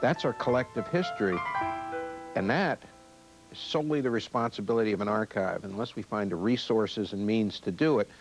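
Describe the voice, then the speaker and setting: A man gives expert interview commentary. His voice is on the low side, with prominent piano music behind it. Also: brisk, rough recording. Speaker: film archive expert.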